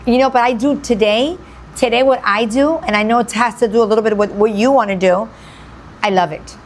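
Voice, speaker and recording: Effusive woman host, a bit high. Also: fairly quick, a little hiss or hum. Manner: effusive, repeats phrases for emphasis. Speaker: radio show host. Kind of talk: enthusiastic interview.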